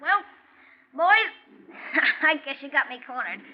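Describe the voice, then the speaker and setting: An actor playing a small, frightened man with a high, childlike voice, stammering and pleading in a 1940s radio crime drama. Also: sing-song, slow pace, heavily acted. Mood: nervous. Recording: muffled, faintly noisy 1940s radio recording.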